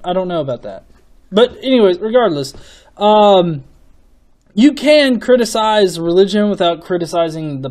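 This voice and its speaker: A man giving off-the-cuff opinion commentary as a video host, in a casual, sweary manner with halting, repeated words. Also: fairly high pitch, a little mellow. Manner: casual, profanity-laden.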